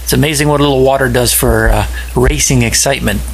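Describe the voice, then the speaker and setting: A man whose first language is Polish leaves a friendly, casual voice message for a podcast and pauses often with fillers. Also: mid-range voice, faint music behind, low-quality recording. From Poland. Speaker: listener leaving a voicemail.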